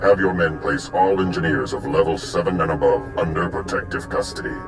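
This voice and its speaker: Casting: authoritative game villain, extremely low voice, formal delivery, score playing behind. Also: male voice, fairly quick. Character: commanding male villain, a mercenary overlord. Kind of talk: video game villain transmission. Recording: loud game music behind voice.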